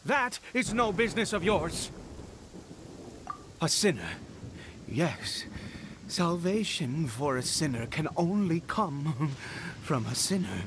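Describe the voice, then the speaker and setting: Loud rain and thunder surround a fanatical, grieving nobleman from a fantasy video game. His pitch is high for a man and swoops theatrically, moving between hushed confession and exultant proclamation. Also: very animated, takes it slowly, pauses often, background noise, exaggerated voice. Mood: fervent, triumphant. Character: grief-stricken, fanatical nobleman father devoted to a dark power. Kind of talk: dramatic video game voice acting.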